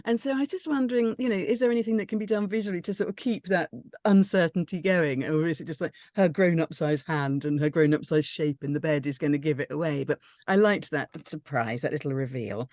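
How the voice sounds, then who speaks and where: A woman's voice: deep voice, brisk, a little mellow, dry recording, studio quality. A woman chatting in a rambling, tentative but enthusiastic way about a script in a group discussion. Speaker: script reading panellist.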